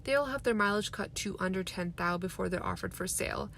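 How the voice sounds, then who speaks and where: A woman's voice: medium pitch, fast talker, fairly bright tone, a little hiss or hum, natural voice. A woman voicing a boastful, bullying middle-aged car-dealer father in a storybook reading. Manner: brash, preaching his tricks with pride. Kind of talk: storybook read aloud.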